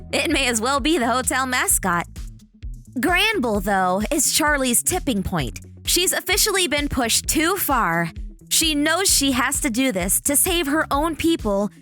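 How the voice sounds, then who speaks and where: Bright high-voiced woman narrating dramatically. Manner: dramatic, animated storytelling delivery. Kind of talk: scripted video narration.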